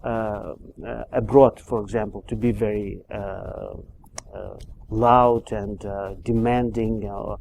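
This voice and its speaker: A middle-aged man with a Moldovan accent talks slowly through his story, pausing on lots of "uh"s and restarting his sentences. His voice is dark and mellow. There is a faint hiss of noise behind him. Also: on the low side, flat delivery, gravelly. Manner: hesitant, many fillers and restarts. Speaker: immigrant interviewee. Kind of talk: oral history interview.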